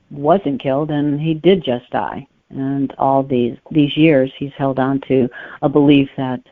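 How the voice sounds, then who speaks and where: A woman's voice: a bass voice, no room sound. A woman speaking as a guest author, giving conversational answers in an interview. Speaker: guest author discussing her book. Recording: narrow phone-like line, dry.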